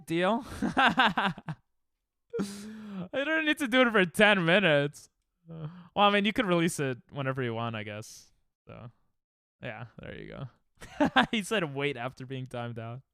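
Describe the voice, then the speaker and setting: Sarcastic man with a lively voice. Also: a little high, measured pace, pauses often, smooth, dry recording, studio quality. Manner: sarcastic, joking banter with chat. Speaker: streamer. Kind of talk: casual live-stream chat while gaming.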